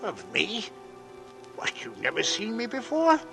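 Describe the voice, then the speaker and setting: An actor in a 1940s radio drama playing an uneasy, plain-spoken working man, a stonemason who talks in a halting mutter. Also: high-pitched, wildly swooping pitch, creaky, pushed and bright, heavily acted. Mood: uneasy, puzzled. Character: uneasy working-class monument mason, plain-spoken and superstitious. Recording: old radio broadcast, music behind.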